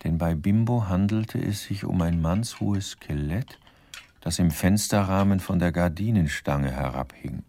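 An actor playing the wry narrator of a comic crime radio play, measured and dryly ironic. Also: male voice, deep voice, mellow.

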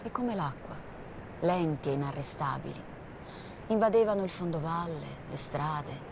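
Deep-voiced solemn woman storyteller, faint rumble. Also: expressive, moderate pace, gravelly. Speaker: narrator. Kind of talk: literary scripted narration of war history.

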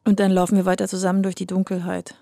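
A woman's voice: mid-range voice, completely flat delivery, fast talker, mellow, dry recording. A woman reading a scripted first-person narration, as in a radio feature.

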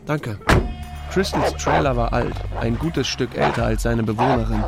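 A man's voice: mid-range voice, normal speed, creaky, over loud music, natural voice. A man playing a laconic small-town sheriff who tells his own story in an audio drama, with a dry, understated manner. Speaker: narrator and lead actor. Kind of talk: first-person audio drama narration with dialogue.

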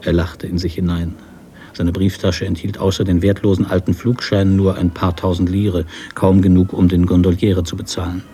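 A man narrating literary prose for a radio drama in a measured storytelling manner. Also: low voice, flat delivery, dark tone, a little hiss or hum.

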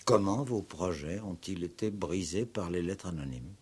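An actor playing a probing, insistent, ironic police commissioner in a 1960s French radio play. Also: male voice, low-pitched, normal speed, a little mellow, breathy edge. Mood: probing, formal. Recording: old 1960s radio recording.

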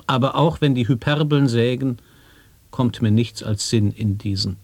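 A man performing an earnest speaker of absurd pronouncements in an experimental radio play, with a measured, formal delivery. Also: medium pitch, husky, no echo. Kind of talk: avant-garde radio play recitation of nonsense wordplay.

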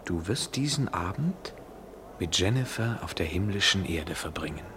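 Low-voiced, wry, aloof European man. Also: lively intonation, fairly bright tone. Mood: playful, secretive. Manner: measured, poetic, wry. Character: restless, wry European traveller, aloof yet attracted man. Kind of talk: 1970s German radio play acting.

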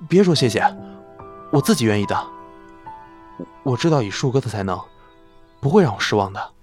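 A man's voice: mid-range voice, big pitch swings, a little fast, soft background music, natural voice. A man playing an eager, devoted young male celebrity in an audio drama, earnest and keen to please. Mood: eager, reassuring.

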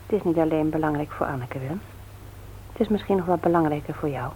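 A woman's voice: deep voice, fast, dark tone, a little hiss or hum, lightly acted, poor audio. An actress playing a playful but earnest, devout wife and mother in a naturalistic radio drama. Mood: earnest reproach. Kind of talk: naturalistic Dutch radio play acting.

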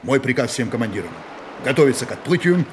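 An actor playing a resolute musketeer captain in command of an expedition in a radio drama, authoritative and declamatory. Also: male voice, medium pitch, expressive, fast talker, background noise, natural voice. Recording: loud music under radio play. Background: music.

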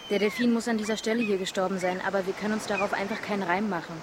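A woman's voice: mid-range voice, steady tone, racing, background noise, natural voice. A woman playing a friendly, casual young woman with a playful manner in a radio drama. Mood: puzzled.

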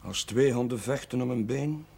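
A village priest with a troubled conscience in a television drama. His husky voice sits at a medium pitch. He speaks in a subdued, hesitant, natural way. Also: normal speed, a little mellow.

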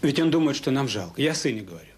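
A man's voice: mid-range voice, very animated, fast talker, lightly acted. An actor playing a troubled, remorseful middle-aged father in a radio drama, speaking hesitantly and earnestly. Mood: earnest pleading. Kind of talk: Soviet radio drama acting.

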